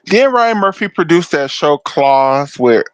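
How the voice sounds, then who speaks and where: A man with a deep voice co-hosting a podcast, talking bluntly and emphatically, swearing freely. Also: sing-song, unhurried, dry recording. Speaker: podcast co-host. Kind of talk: casual chat.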